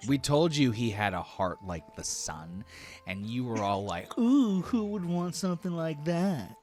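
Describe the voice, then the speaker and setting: Man playing a plain-spoken fantasy villager, animated pitch. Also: unhurried, faint music behind. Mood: warm, teasing. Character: plain-spoken, weary male fantasy villager, teasing friend.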